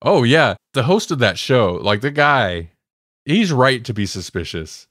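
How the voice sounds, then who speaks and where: A man's voice: medium pitch, sing-song, unhurried, a bit bright, dry recording, studio quality. A man co-hosting a podcast, chatting casually and giving his opinions in a conversational way. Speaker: podcast co-host. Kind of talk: casual fan discussion chat.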